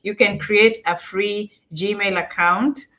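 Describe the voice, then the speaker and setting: A woman's voice: mid-range voice, steady tone, slow, a bit bright. A Kenyan-accented woman presenting an informal step-by-step tutorial, repeating and rephrasing her points as she explains. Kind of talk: informal step-by-step screen walkthrough.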